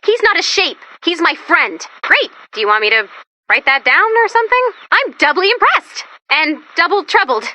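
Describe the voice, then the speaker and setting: A quirky female robot sidekick from a video game, pitched high and very bright. She chats in a perky, theatrical, comic way as a mission guide, swinging widely in pitch from line to line. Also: sing-song, moderate pace, heavily acted. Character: upbeat, quirky female robot assistant guiding the commander.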